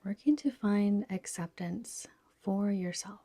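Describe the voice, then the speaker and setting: Slow, breathy woman guiding meditation. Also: medium pitch, dry recording. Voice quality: soft, breathy, whispery. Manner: soothing, gentle, unhurried instruction. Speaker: yoga nidra guide. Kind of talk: guided relaxation meditation.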